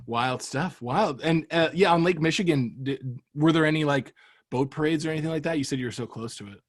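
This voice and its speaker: A man's voice: a little high, a little fast. An American man hosting a podcast in casual, comedic interview chat, with a joking, playful manner. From Florida, United States. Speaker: podcast host.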